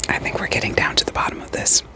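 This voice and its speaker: Whispering female therapist played by a woman. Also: close to an everyday voice. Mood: gentle, probing. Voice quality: soft, breathy, mostly unvoiced whisper. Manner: gentle, repetitive reassurance, slow deliberate questioning. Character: calm, reassuring female therapist screening patients for werewolves. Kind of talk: ASMR roleplay.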